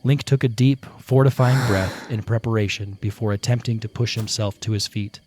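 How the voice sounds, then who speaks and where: A man narrating a scripted fantasy audio play with dramatic, deliberate storytelling. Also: on the low side, fairly even intonation, a little mellow. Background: prominent music.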